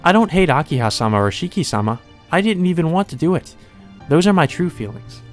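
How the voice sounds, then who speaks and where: A man's voice: on the high side, animated, a little fast, voice pushed up, natural voice. A man voicing an emotionless, doll-like young maid from a visual novel, detached and wistful. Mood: detached, confessing. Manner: detached, wistful, softly confessing. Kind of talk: visual novel character reading. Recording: music under the voice.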